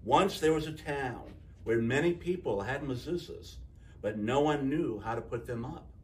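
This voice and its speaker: A man reading a picture book aloud to children in an expressive storyteller's style, acting out the dialogue. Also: medium pitch, moderate pace, distant mic, slight background noise.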